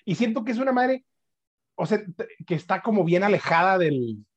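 A man's voice: high voice, sing-song, normal speed, husky. A man with a Mexican accent, co-hosting a podcast in slangy casual chat with casual swearing. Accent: Mexican Spanish. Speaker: podcast co-host.

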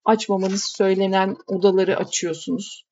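A woman voicing a gruff village housekeeper who talks curtly and scolds. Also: mid-range voice, little pitch movement, normal speed, a little mellow, lo-fi sound, lightly acted. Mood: grumbling. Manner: curt, scolding.

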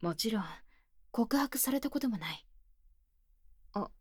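A woman playing an earnest, shy, tomboyish senior high school girl in an ASMR voice drama, speaking plainly and hesitantly, often near a whisper, close on a binaural mic. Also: expressive, unhurried, slightly rough, no room sound. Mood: self-deprecating, gentle. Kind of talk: Japanese ASMR situation voice drama. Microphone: close binaural microphone, dry studio.

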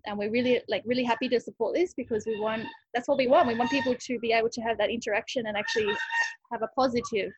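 A woman with a slightly high, husky voice talks casually about her work, thinking aloud with frequent "like"s and restarts. The sound is dry and a little dull, as if from a lo-fi recording. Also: fairly even intonation, quick pace, no room sound. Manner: chatty, thinks aloud with many likes and restarts. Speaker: rescue cat cafe owner. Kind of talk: casual interview answers.

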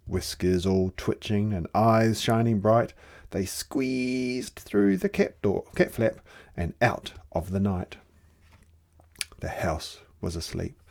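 A storyteller reading a rhyming children's picture book aloud in an expressive, theatrical way. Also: sits low, takes it slowly. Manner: theatrical, dramatic delivery.

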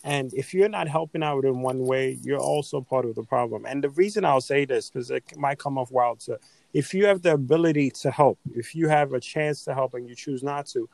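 A man's voice: medium pitch, brisk, gravelly, darkish tone, no room sound. A man co-hosting a podcast, making his points earnestly and persuasively in casual conversation. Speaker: podcast co-host.

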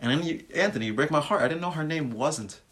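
A man's voice: a little high, animated, fast talker, fairly bright tone. Laid-back male podcast co-host, filler-heavy. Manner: loose and conversational, heavy use of "like" fillers. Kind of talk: casual music podcast discussion.

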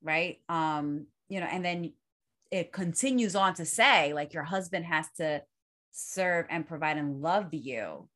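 A woman's voice: deep voice, animated, measured pace, a bit bright. A middle-aged woman, a podcast host, talking through her ideas in a reflective, casual conversation with many fillers.

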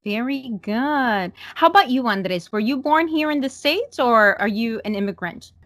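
A woman's voice: on the high side, lively intonation, moderate pace, a bit bright, no room sound. A woman from Medellín, Colombia with a Colombian accent, hosting an interview and asking encouraging questions. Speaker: podcast host. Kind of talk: interview conversation.

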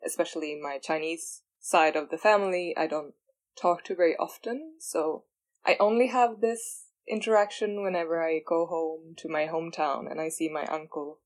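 A woman's voice: on the low side, moderate pace. A young woman in casual conversation, making wry remarks with laughing asides. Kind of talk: casual chat.

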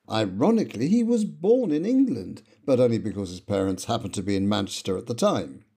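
A man narrates a history podcast from a script, his pitch rising and falling with lively emphasis. His tone is mellow and dark. There is a slight huskiness to it. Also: husky. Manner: measured, lively intonation, reading quotations.

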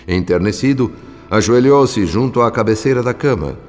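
A man with a Brazilian accent playing a solemn, compassionate narrator, telling a radio drama in measured literary phrases. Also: mid-range voice, expressive, a little mellow, natural voice. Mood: solemn, tender. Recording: long reverb with music behind. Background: music.

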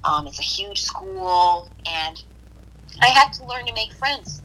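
A woman from Puerto Rico chatting casually on a podcast, hesitant, with restarts and soft laughs. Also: mid-range voice, expressive, slow pace, a little hiss or hum, low-quality recording. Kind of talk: casual conversation.

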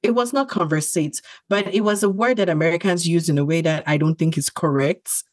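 A woman's voice: lowish voice, fairly quick, dry recording, studio quality. A woman, a podcast co-host whose first language is not English, chatting casually and thoughtfully with many fillers and restarts. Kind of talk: casual chat.

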